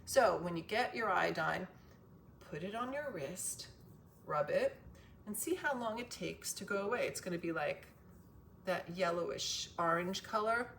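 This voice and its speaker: Casting: female wellness vlogger, lowish gravelly strained voice, sweary and digressive, noisy ambient recording. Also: expressive, unhurried, fairly bright tone. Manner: profane, emphatic, goes off on tangents. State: voice strained from loud singing. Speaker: wellness vlogger sharing a self-cure. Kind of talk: casual, rambling advice vlog.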